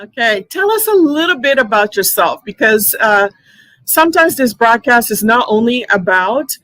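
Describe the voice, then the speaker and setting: A woman's voice: fairly high pitch, very animated, moderate pace, bright, forceful tone, dry recording. A woman hosting a live online interview, chatty, with many fillers and restarts. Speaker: host.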